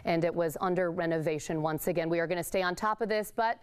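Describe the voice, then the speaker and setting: A woman's voice: on the low side, quick pace, smooth. A woman in her thirties or forties anchoring a TV newscast from a studio with scripted delivery. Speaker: TV news anchor. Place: TV news studio.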